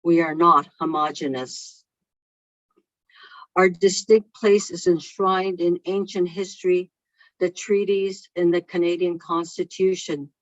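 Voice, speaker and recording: Slow, measured keynote speaker on a call. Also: medium pitch, steady tone, fairly bright tone, dry recording. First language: not English. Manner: slow, measured and deliberate delivery. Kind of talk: reading a prepared keynote speech. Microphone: video call microphone. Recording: dull lo-fi online call audio.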